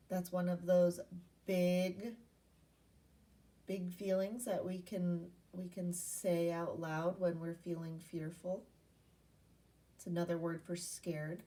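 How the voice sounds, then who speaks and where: A woman's voice: on the low side, distant mic. A woman primary school teacher giving an interactive read-aloud lesson to young children, asking questions and repeating key points in an instructional way.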